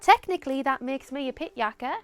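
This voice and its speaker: A woman's voice: high-pitched, animated, brisk, faint music behind. A young woman from County Durham with a broad Geordie accent, saying example words and phrases for an accent lesson in a sing-song way. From County Durham, England. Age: young adult. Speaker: accent demonstrator.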